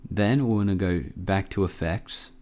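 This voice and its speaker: A man hosting a casual software tutorial, informal and a bit hesitant as he thinks aloud. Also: low-pitched, normal speed. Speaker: tutorial host.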